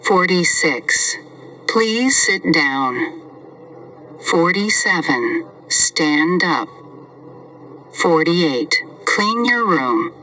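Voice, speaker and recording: A man's voice: fairly high pitch, big pitch swings, drawn-out words, pushed and bright, distant mic, dull audio, slight background noise. A man teaching a beginners' English lesson, reading numbered sentences and saying each one twice. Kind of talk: slow scripted language lesson with repetition.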